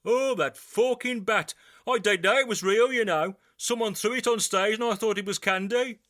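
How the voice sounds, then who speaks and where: A man's voice: high-pitched, normal speed, fairly bright tone, slight background noise. A man playing a bumbling, slangy British rock star with a Birmingham accent, in a comedy story reading. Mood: queasy, flustered. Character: queasy drunken-sounding British heavy metal rock star.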